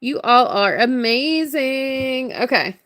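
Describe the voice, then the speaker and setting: Chatty lady on a craft livestream, high voice, kinda scratchy from talking all day, laughs a lot. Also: lively intonation, slow pace, a bit bright. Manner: chatty, upbeat, laughs often. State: hoarse, voice strained from hours of talking. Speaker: livestream crafter and shop owner. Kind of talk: casual livestream chat greeting viewers.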